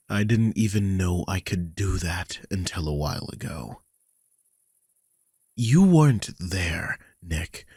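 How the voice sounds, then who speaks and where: A man's voice: sits low, no echo, studio quality, heavily acted. A man playing a worried, guilty vampire king who is protective of his charge, confiding wearily in an audio roleplay. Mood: defensive, troubled. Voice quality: husky, breathy. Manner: confiding, weary, sighing, swears. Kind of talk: dramatic audio roleplay, one side of a conversation.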